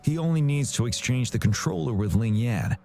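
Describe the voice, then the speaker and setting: A young military general from a video game. He is steady, courteous and formal, with expressive movement in his pitch and a reassuring, composed manner. Music plays behind him. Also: a little fast, vocal fry.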